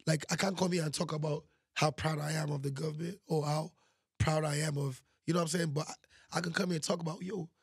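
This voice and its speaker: A man from Nigeria, a guest musician, telling animated stories in a casual interview and often saying "you know what I'm saying". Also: a little high, quick pace, vocal fry, slightly rough, no echo, studio quality.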